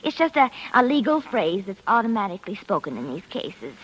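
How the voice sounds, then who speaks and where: A woman's voice: medium pitch, very animated, brisk, slightly rough, muffled recording. An actress playing a chatty, soothing law-office woman in a 1940s radio drama, coaxing and folksy in manner. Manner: coaxing, folksy, calls people honey. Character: chatty, soothing female law-office worker, pushily reassuring. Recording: noisy old 1940s radio broadcast.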